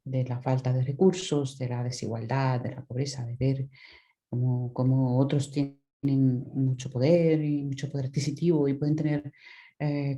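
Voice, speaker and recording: Very dark-voiced woman researcher lecturing. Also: a bass voice. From Dominican Republic. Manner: thoughtful, many restarts and "¿no?" tags. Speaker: anthropology researcher presenting her thesis. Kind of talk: academic lecture over a video conference. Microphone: video call microphone.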